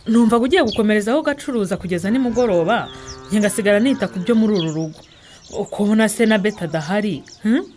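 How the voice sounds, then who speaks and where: Actress as a teasing village wife, birdsong behind. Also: female voice, mid-range voice, expressive, very fast, darkish tone, close to an everyday voice. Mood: questioning. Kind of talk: radio drama dialogue, conversational. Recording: birdsong and background noise.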